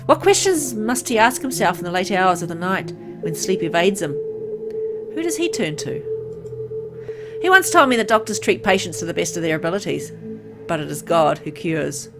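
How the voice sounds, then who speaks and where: A woman reading a horror visual novel aloud with expressive narration and casual asides to viewers. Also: fairly low pitch, normal speed, music in the background. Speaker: let's play reader.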